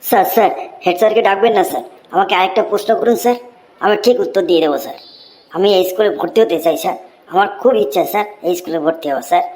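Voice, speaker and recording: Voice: medium pitch, rapid-fire, a little mellow, natural voice. An actor voicing a cheeky young schoolboy with an earnest, deferential, pleading manner in a cartoon comedy. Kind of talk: exaggerated Bengali cartoon comedy dubbing.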